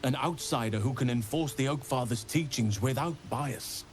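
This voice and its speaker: An actor playing an earnest, learned druid burdened by regret, speaking in measured, formal phrases in a fantasy video game. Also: male voice, mid-range voice, slight background noise, natural voice. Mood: measured, resolute. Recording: game music behind.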